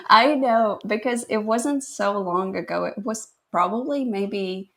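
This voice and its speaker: A woman's voice: medium pitch, slow pace. A woman in her twenties from Latvia, a guest photographer telling her story in a modest, laughing way. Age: 20s. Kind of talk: casual interview chat.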